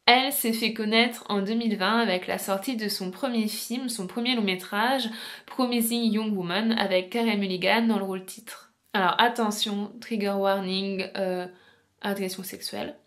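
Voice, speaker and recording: A woman's voice: mid-range voice, steady tone, normal speed, fairly bright tone. A woman presenting an informal video essay as a book vlogger, chatty and explanatory, speaking straight to her viewers.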